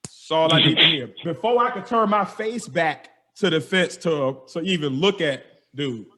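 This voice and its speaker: A young man, a podcast host telling a story with lively energy, slangy and acting out the dialogue. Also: on the high side, moderate pace. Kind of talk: animated storytelling.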